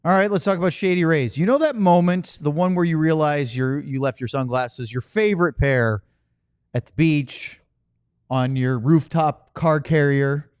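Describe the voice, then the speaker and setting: A man's voice: fairly high pitch, normal speed, smooth, no echo, clean studio sound. A man hosting a sports podcast, moving through segues and sponsor reads in a casual conversational style. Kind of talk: casual ad read and show hosting.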